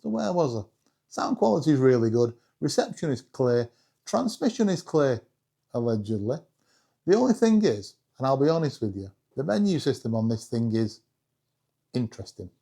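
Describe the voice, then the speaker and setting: A British man with a husky voice giving a casual, chatty hobby review with self-deprecating humour. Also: mid-range voice, sing-song, moderate pace, warm tone. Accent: British English. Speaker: video creator reviewing radios.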